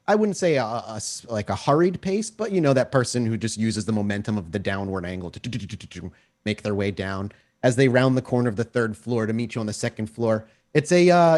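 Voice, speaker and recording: A man's voice: on the low side, darkish tone. A man working as game master, improvising descriptive scene narration in a conversational way for a tabletop game.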